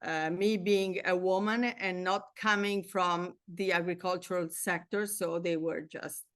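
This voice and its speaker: A middle-aged Italian woman speaks slowly and thoughtfully, her voice sitting a little low. Her Italian accent colors her English, and she pauses often, restarting phrases as she searches for words. The sound is close and dry, with no room around it. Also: steady tone, fairly bright tone, no echo. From Italy. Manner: hesitant, many fillers and restarts. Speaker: winery founder, interview guest. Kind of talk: casual interview conversation.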